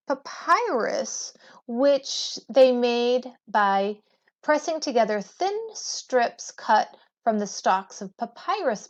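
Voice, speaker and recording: Lady teacher reading a textbook out loud, takes it real slow, kinda muffled lo-fi sound. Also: a little high. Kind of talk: reading a textbook aloud to students. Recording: dull lo-fi recording.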